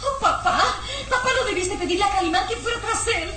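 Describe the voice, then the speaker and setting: A woman's voice: very high-pitched, expressive, brisk. A woman playing a frightened young daughter in old radio drama style, melodramatic, anxious and pleading.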